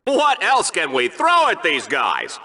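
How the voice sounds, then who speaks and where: Hammy arena announcer for a video game, goofy n slick, high voice, super animated, hyped up commentary. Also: male voice, slow pace, exaggerated voice. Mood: mock wincing. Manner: showy, theatrical, hammy. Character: slick, goofy, over-excited male game-show sports announcer.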